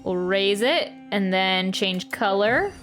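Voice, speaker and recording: A woman's voice: mid-range voice, animated, slow pace, a bit bright. A woman giving casual, chatty live commentary as a game streamer, thinking aloud as she plays. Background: video game music.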